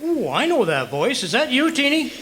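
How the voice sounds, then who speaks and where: A man's voice: extremely high pitch, very animated, normal speed, sharp tone, full character voice. A man playing a bumbling, self-mocking but good-natured husband in an old-time radio comedy, blustering and easily flustered. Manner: blustering, rattles off lists, flustered stammers. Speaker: amateur radio comedy actor. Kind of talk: old-time radio comedy acting before a live audience. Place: live performance at an RV resort. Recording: live stage recording, background noise.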